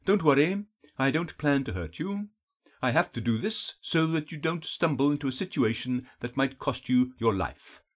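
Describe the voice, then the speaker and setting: A sly elf villain from a fantasy storybook, acted out by a male narrator. His voice is honeyed and throaty, and it swings widely in pitch as he draws out his words in a mocking purr. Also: expressive, husky. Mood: falsely soothing. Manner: mocking, drawn-out, demure purr. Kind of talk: audiobook character voice.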